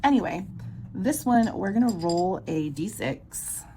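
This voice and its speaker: A woman with a sore, scratchy throat, quietly counting bills aloud in a casual budgeting vlog. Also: mid-range voice, lively intonation, measured pace. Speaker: budgeting vlogger. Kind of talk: casual cash-stuffing walkthrough.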